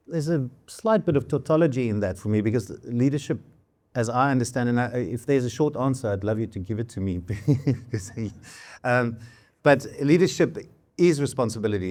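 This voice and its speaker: A South African man, Afrikaans his first tongue, speaks in an even mid-range voice. He thinks aloud, halting and restarting as he answers questions as a panel guest. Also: medium pitch. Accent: South African English. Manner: thoughtful, halting, many restarts. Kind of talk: reflective conversation.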